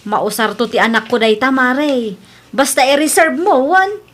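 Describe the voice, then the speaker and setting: A woman with a Filipino Ilocano accent playing an excitable, stubborn expectant young mother in a radio comedy drama, insistent and complaining. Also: sits high, measured pace. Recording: background music under the voice.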